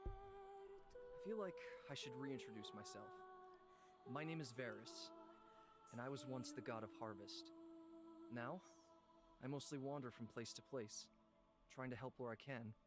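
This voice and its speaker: A gentle former harvest god acted by a man speaks scripted visual novel lines. The male voice sits at a middling pitch, with clear music underneath. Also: big pitch swings, a little slow, long pauses. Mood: earnest, gentle.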